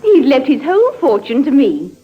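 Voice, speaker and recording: An actress playing a calculating, self-possessed young heiress in a composed, coolly mocking radio drama style. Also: female voice, high voice, very animated, normal speed. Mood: brisk, composed. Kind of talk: 1950s radio drama acting. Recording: muffled vintage radio recording.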